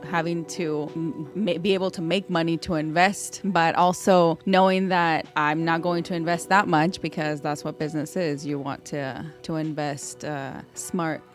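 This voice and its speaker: A woman's voice: sits low, steady tone, normal speed, hardly any pauses, soft background music. A woman born in Mexico, with Spanish as her first language, speaking as a podcast guest in a thoughtful, casual way with fillers and restarts. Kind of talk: casual interview talk.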